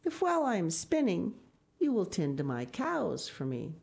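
A woman voicing a kindly old grandmother from a storybook, speaking gently. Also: fairly low pitch, huge pitch swings, moderate pace, vocal fry, husky, voice pushed up, heavily acted. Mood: gentle.

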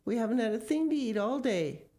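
A woman reading the part of an excitable, fussing mother in a children's story, exclaiming dramatically. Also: on the high side, fairly quick, voice pushed up, lightly acted. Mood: dismayed.

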